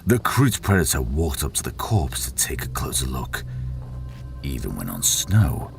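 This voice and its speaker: A man's voice: extremely low pitch, sing-song, a little slow, gravelly. A man narrating a fiction audiobook in a dramatic, scripted storytelling style. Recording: voice over prominent background music.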